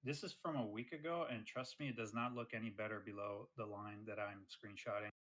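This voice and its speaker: A man's voice: fairly low pitch, steady tone, fairly quick, fairly bright tone, poor audio. Blunt emphatic man on voice chat. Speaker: debate participant presenting screenshots. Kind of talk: online voice chat argument.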